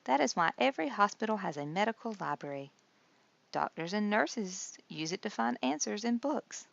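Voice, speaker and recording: A woman's voice: medium pitch, big pitch swings, moderate pace, gravelly, muffled recording, pitched above the performer's own voice. A woman voicing a kindly nurse in a children's storybook, patiently explaining things and reassuring young listeners.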